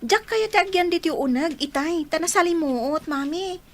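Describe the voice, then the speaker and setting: A woman's voice: very high-pitched, animated, normal speed. A woman playing a whiny, jealous young daughter in a radio drama, plaintive and insistent. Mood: resentful, whining. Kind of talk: Filipino radio drama acting.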